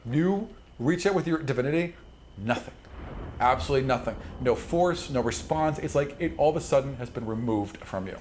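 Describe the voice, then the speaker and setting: Man, game master, mid-pitched voice, conversational improvised scene description, slightly noisy recording. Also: expressive, fairly quick, a little mellow. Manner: conversational, descriptive, swears casually. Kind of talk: improvised tabletop game narration.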